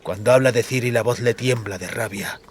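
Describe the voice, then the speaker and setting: A man narrating fiction as a dramatized audiobook, with deliberate, dramatic phrasing. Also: mid-range voice, a little hiss or hum.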